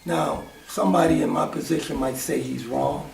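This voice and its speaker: A man taking part in a faith-based group discussion, speaking reflectively and growing animated as he recalls his past. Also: on the high side, moderate pace, vocal fry, slight background noise. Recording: echoey room, distant mic.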